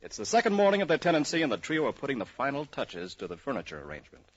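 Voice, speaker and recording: A man's voice: medium pitch, wildly swooping pitch, very fast. A man narrating in a folksy, scripted 1940s radio style, addressing listeners as an announcer. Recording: muffled old radio recording.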